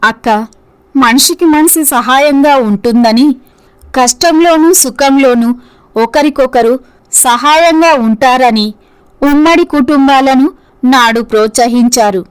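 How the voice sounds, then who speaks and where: A woman playing a dutiful, principled housewife and mother in a scripted story, speaking earnestly and in measured phrases. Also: high-pitched, clean tone, poor audio. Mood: firm, hurt.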